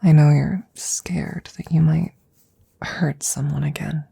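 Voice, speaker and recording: A woman playing a gentle, protective witch in an ASMR roleplay, speaking softly and tenderly in breathy whispers, close to the mic. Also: sits low, fairly even intonation, exaggerated voice. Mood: tender, reassuring. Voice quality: soft, breathy, often whispering. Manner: tender, slow, reassuring. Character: gentle protective witch soothing her werewolf familiar. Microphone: close microphone.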